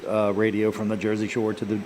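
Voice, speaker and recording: A man's voice: lowish voice, monotone, a little fast, darkish tone, slight background noise, rough recording. Laid-back male radio co-host. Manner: teasing, laid-back banter. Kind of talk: casual live radio chat.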